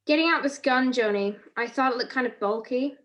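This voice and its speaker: A woman plays a quick-witted lady detective in an old-time radio mystery. Her voice is on the high side, and she delivers her lines with wry, teasing confidence. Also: a bit bright. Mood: firm. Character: quick-witted wry female amateur sleuth. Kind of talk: 1940s radio mystery acting.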